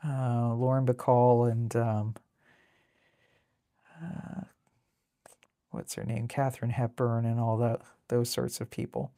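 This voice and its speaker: A woman with a deep contralto voice chatting casually and in a rambling way as she hosts a live drawing stream. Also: flat delivery, slow, long pauses, dark tone, no echo, clean studio sound. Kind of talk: casual chat while drawing.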